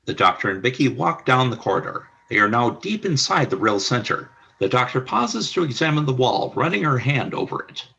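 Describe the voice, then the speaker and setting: Gravelly, bright-toned man narrating. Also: mid-range voice, sing-song, a little fast, hardly any pauses, dull audio. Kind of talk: scripted radio play narration.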